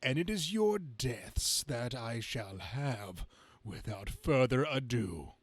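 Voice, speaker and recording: Casting: a man performing a mind-controlling comic supervillain, male, grandiose and theatrical, creaky, deliberate delivery, dry close sound. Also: mid-range voice, big pitch swings, no room sound, full character voice. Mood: imperious, deadly. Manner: grandiose, slow, theatrical declaiming. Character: gloating, imperious comic-book supervillain who commands minds.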